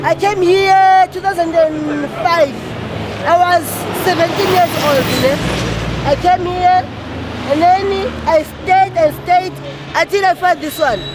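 A woman, talking in a lively, loud way in a casual street interview. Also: very high voice, unhurried, poor audio. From Zimbabwe. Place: busy city street outdoors. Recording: loud crowd and music bed.

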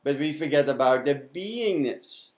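A man's voice: a little high, animated, measured pace. A man in his fifties with a Dutch accent gives an effusive spiritual talk to camera, repeating affirming words. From Netherlands. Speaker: spiritual mentor and broadcast host. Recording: roomy, echoing indoor sound.

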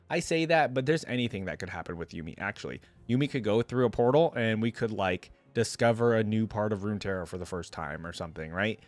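A man's voice: medium pitch, no echo. A young adult man giving casual, chatty, enthusiastic commentary as a reaction host, rambling a little into a desk microphone. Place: small indoor room at a gaming desk. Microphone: desk microphone up close.

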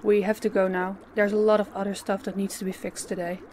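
A woman playing a stern, menacing female boss in an audio drama, speaking in a commanding, deliberate way. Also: medium pitch, level tone, darkish tone, a little hiss or hum, close to an everyday voice. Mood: controlled, firm. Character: stern, menacing female crime boss posing as business owner.

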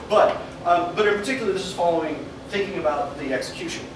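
A man's voice: sits high, a little fast. Young man lecturing at a whiteboard. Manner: animated, laughs often, thinks aloud. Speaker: meetup speaker. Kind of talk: talk at a whiteboard with audience questions. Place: meeting room with an audience. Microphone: far camera mic. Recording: echoey room from far off, noisy.

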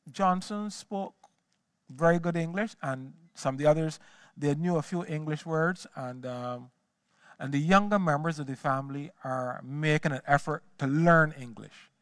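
A man's voice: on the high side, fairly bright tone, no echo, studio quality. A man gives a history lecture on a small auditorium stage into a headset mic, often repeating words as he searches for the next phrase. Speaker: guest lecturer.

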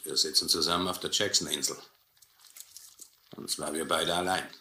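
Sehr tiefer, harter Seekapitän. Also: male voice, rumbling low, steady tone, moderate pace, pushed and bright, exaggerated voice. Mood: dryly sardonic. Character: hard, sardonic sea captain, weakened by blinding headaches. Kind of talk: German radio play acting. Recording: roomy, noisy old radio play recording.

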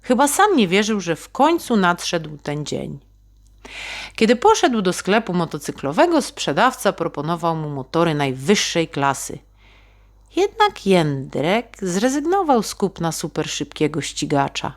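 A woman tells a story for children with dramatic expression. Her pitch sits a little low and her delivery is very unhurried. The intonation swings widely as she speaks to her young audience. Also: sing-song. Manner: dramatic, addresses young listeners. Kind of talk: expressive bedtime-story narration in Polish for children.

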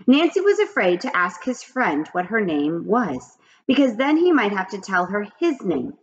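Animated woman reading a storybook aloud. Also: mid-range voice, normal speed. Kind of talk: children's read-aloud. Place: small home office, indoors. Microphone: webcam at desk. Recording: dull lo-fi webcam audio.

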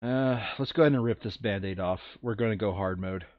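A man with a fairly low voice gives casual board game commentary, thinking aloud and reading out cards. The sound is dry and close, slightly dull and lo-fi. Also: brisk, no room sound. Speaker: player in a tabletop board game playthrough.

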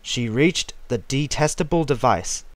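A man's voice: mid-range voice, animated, unhurried, dry recording. A man reading a scripted story aloud as its narrator. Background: music.

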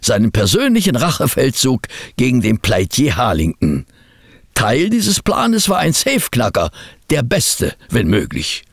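An actor in a German audio drama playing a detective's loyal, concerned friend, an expressive, literate storyteller with a husky voice. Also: male voice, mid-range voice, no echo, clean studio sound, full character voice. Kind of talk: scripted audio drama narration and acting.

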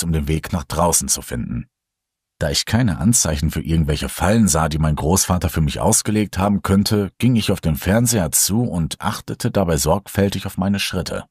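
Very deep-voiced male horror narrator. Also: husky, no room sound, studio quality. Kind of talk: scripted first-person horror narration.

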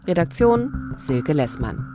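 A woman's voice: low voice, sing-song, slow pace. A woman in her forties with a standard German accent, co-hosting a true-crime podcast on a close studio microphone. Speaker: podcast co-host. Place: small indoor recording studio. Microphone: close studio microphone on boom arm. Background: music.

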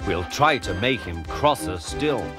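A man's voice: lowish voice, animated, a little slow, over music. Man voicing a spiteful, whiny brake van. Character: spiteful, self-important railway brake van, sneering troublemaker. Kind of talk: dramatised children's storybook reading.

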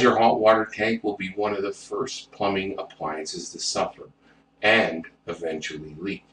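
A man's voice: lowish voice, a bit bright. A man narrating an instructional plumbing video, reading technical figures step by step with the odd self-correction. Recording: roomy, distant mic with faint noise.

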